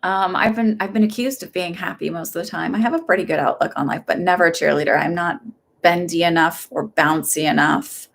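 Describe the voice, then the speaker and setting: A woman's voice: on the low side, fairly even intonation, a little fast, creaky. Chatty, upbeat middle-aged woman. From Texas. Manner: chatty and upbeat, rambling, laughs often; gentle and reassuring when giving advice. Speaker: psychic medium giving live readings. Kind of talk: casual live-stream readings.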